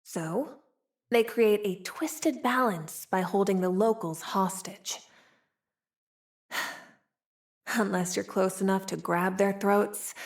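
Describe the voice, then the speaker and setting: A young woman voicing a playful, streetwise, wry frontier woman with a tail in a video game. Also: mid-range voice. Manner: teasing, sly, drawling, sighing between wry remarks. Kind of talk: video game character voice lines.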